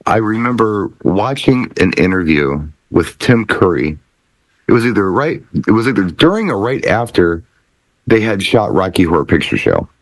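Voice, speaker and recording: Male panelist, lowish voice with lively intonation, thoughtful conversational explainer who pauses deliberately. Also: dry recording, rough recording. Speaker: DJ talk show panelist. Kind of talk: casual explanatory chat.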